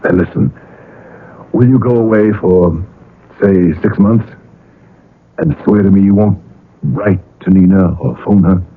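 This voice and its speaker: A worried pleading dad in an old radio play, really low husky voice, halting. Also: male voice, fairly even intonation. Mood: urgent, persuading. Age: middle-aged. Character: anxious, pleading, possessive father of a grown daughter. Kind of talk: 1970s radio drama acting. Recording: muffled vintage radio broadcast.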